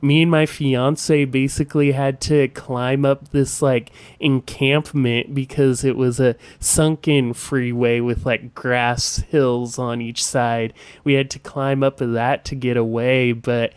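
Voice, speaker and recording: A man hosting a podcast in casual conversation, with a smooth voice and a halting way of talking full of asides. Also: mid-range voice, level tone, no room sound. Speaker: podcast host.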